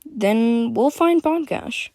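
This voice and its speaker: A woman voicing a kind, playful, protective female friend in a storybook, in a gentle, teasing manner. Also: on the high side, lively intonation, slow pace, no echo, pitched above the performer's own voice, full character voice. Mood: determined.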